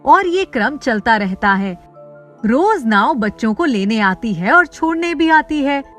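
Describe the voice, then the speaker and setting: Woman, high voice, story narrator, scripted children's tale, music behind. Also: big pitch swings, measured pace, a bit bright.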